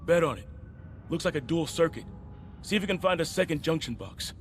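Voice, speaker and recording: An actor playing a determined, gutsy police officer in a video game, with an American accent and brisk, clipped delivery. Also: male voice, a little high, lively intonation, a little hiss or hum, close to an everyday voice. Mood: businesslike. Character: determined, gutsy male police officer, Spider-Man's ally. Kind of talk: video game voice acting, natural dialogue.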